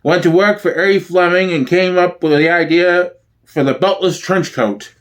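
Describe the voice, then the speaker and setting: A man's voice: high voice, normal speed, a bit bright. A man performing an older father in a sitcom reading, boastful and grumbling.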